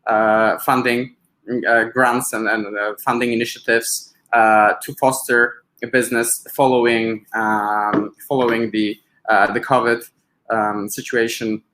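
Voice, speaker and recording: A man from Poland with a Polish accent gives a webinar presentation as a business advisor, in a halting way with frequent filled pauses. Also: on the low side, flat delivery, fairly bright tone, poor audio.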